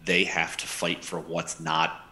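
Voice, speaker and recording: Middle-aged male co-host, low voice, conversational commentary on a headset. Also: completely flat delivery, unhurried, bright, forceful tone. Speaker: co-host of an anime news show. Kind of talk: casual chat, commentary. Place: indoors on a video call.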